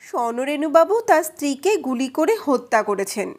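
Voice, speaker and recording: A woman voicing a female college lecturer giving testimony in an audio story, measured, deliberate and matter-of-fact. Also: high voice, very animated, voice pushed up. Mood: composed, deliberate. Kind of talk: dramatized witness testimony.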